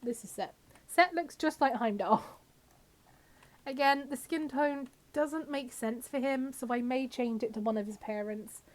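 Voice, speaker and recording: Higher-pitched woman streamer with a cold. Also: unhurried. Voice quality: slightly scratchy from a cold. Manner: chatty, talks to viewers and game characters. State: has a cold. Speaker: game streamer. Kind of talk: casual live-stream game commentary.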